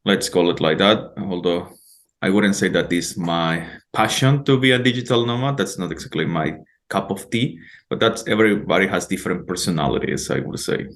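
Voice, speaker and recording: A man from El Salvador, a guest on a podcast, speaking in a measured way and hedging his statements. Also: low voice, lively intonation, a bit bright. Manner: measured, with hedges like "let's say" and "I would say". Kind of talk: casual interview.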